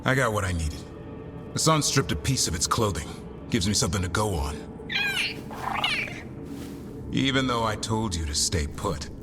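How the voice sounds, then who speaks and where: A man's voice: lowish voice, expressive, a little fast, natural voice. A man playing a protective, principled warrior who handles a beast in a fantasy game, with a firm, emphatic manner. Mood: protective, irritated. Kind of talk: scripted fantasy game dialogue. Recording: music behind the voice.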